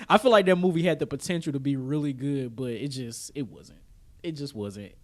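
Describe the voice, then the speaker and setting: A man's voice: medium pitch, moderate pace. A man co-hosting a podcast in casual chat, with an informal, slangy, easygoing way of talking. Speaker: podcast co-host. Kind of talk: casual chat about pop culture.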